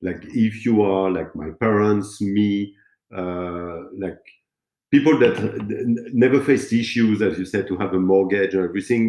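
A man talking casually in a conversation, halting with stammered restarts. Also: fairly low pitch, warm tone. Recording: roomy echo.

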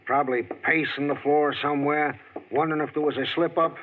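An actor playing a talkative, drawling rural customer in a 1940s radio drama, on an old tinny recording. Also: male voice, fairly high pitch, steady tone, fairly quick, a little hiss or hum, lightly acted. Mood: folksy. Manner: rustic drawl, folksy.

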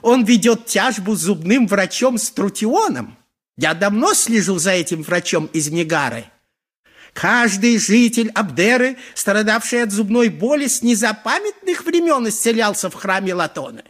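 An actor playing a pompous, lecherous high priest in a theatrical radio play, speaking in an oratorical, unctuous way. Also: male voice, lively intonation, moderate pace, fairly bright tone. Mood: indignant, scheming. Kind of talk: 1980s Soviet radio play acting.

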